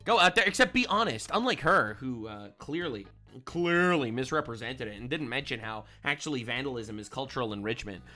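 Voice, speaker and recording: A man streaming casual reaction commentary, sarcastic and mocking, often ranting loudly. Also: mid-range voice, expressive, a little fast, faint music behind.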